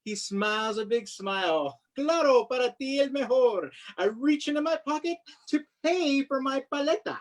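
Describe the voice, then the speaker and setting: An author, perhaps in their thirties or forties, reads their own picture book aloud to children in an animated, expressive way, with asides. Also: sits high, normal speed, few pauses. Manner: expressive, sing-song on refrains, commenting between lines.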